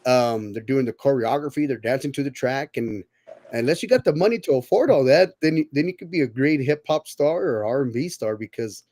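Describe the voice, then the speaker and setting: A man's voice: medium pitch, expressive, fairly quick, darkish tone. A man in his thirties hosting a casual podcast chat, rambling and often repeating his words. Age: 30s. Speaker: podcast host.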